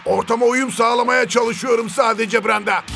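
High, bright cartoon football commentator. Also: male voice, steady tone, normal speed, creaky, faint music behind, exaggerated voice. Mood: wry. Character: wry, theatrical male football commentator.

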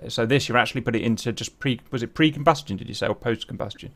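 A man hosting an interview, asking curious questions and backing the guest with quiet acknowledgements. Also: medium pitch, very fast, gravelly. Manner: curious, many quiet "mm-hmm" backchannels. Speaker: interview host.

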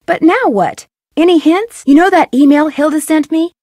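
A woman's voice: sits high, moderate pace, a little mellow, no echo, close to an everyday voice. An actress voicing a young female amateur detective in a video game, inquisitive and chatty as she asks for hints and talks through clues. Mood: curious. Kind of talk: studio video game voice acting.

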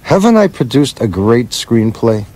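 An actor in a radio play as a bitter, boozy screenwriter, brash, profane and sardonic, always needling. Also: male voice, medium pitch, big pitch swings, unhurried, dark tone, rough recording. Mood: irritable, goading. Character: bitter, boozy, wisecracking male screenwriter with broken leg.

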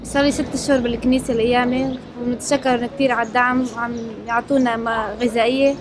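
A young woman with a Lebanese Arabic accent, giving a quick, plain testimony outdoors. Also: a little high, little pitch movement, over music. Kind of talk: testimony interview. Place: outdoors by a building.

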